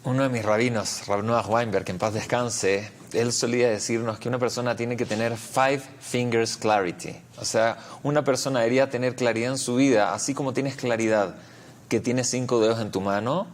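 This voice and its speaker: A man's voice: medium pitch, steady tone, moderate pace. A man with a Chilean accent, a rabbi answering interview questions reflectively, explaining and repeating words as he finds his phrasing.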